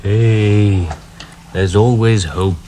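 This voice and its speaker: An actor from northern England playing an earnest young English officer, speaking in a low-key, gentle way. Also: male voice, steady tone, very slow. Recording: hissy background noise.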